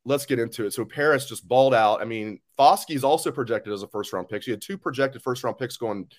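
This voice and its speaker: A man hosts a sports podcast in a casual interview. He talks quickly and rambles, joking and correcting himself as he goes. His pitch sits in the middle range.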